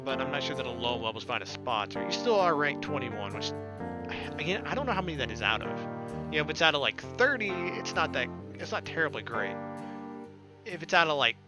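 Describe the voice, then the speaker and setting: A young man doing casual gameplay commentary and reading visual novel text aloud. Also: fairly high pitch. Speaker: let's player. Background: game music.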